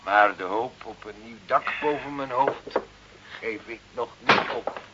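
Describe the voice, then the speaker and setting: A man acting as a fatherly, pious village priest in a radio play, gently scolding in an unhurried way. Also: mid-range voice, natural voice. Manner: unhurried, pious, fond of quoting scripture. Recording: muffled, hissy old radio recording.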